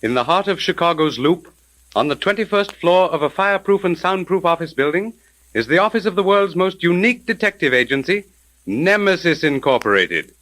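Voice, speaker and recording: A theatrical old-time radio announcer, pitched fairly high and swooping widely. He delivers scripted mystery narration in a formal, declamatory manner, built for suspense. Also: expressive, a little fast, fairly bright tone. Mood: dramatic, suspenseful. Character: dramatic male radio mystery announcer recapping the story.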